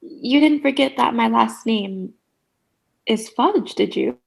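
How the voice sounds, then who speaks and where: A woman's voice: fairly high pitch, takes it slowly, creaky, exaggerated voice. A woman playing a relentlessly cheery, bossy sitcom character in a comedic radio play, theatrical, gushing and condescending.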